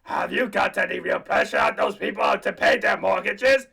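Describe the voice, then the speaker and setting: A man's voice: sits high, normal speed, gravelly, slightly rough, full character voice. A man voicing a mean, miserly monster tycoon who snarls and bullies in a comedic radio play. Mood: angry, bullying. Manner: shouted, bullying, mean.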